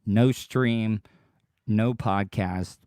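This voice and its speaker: A man hosting his own podcast livestream, rambling and repeating himself as he talks straight to his listeners, his voice worn deeper than usual by tiredness. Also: very slow, a little mellow, no room sound, studio quality. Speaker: podcast host. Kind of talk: casual solo livestream monologue.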